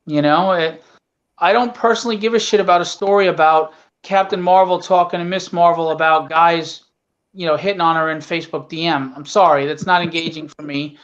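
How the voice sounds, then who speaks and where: A man's voice: on the high side, steady tone, fairly quick, a bit bright. A man speaking casually and bluntly as a guest comic writer, earnest and opinionated, with salty language, over an online call. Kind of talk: casual, opinionated podcast talk. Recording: dull, lo-fi online call audio.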